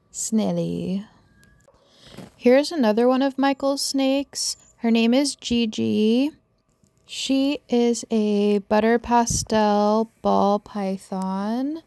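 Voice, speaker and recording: A young woman chatting casually as she shows off pet snakes outdoors on a backyard deck, speaking in an unhurried, affectionate way into a handheld phone camera. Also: a little high, dark tone, dry recording, clean studio sound. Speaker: vlogger showing pet snakes. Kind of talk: casual show-and-tell narration.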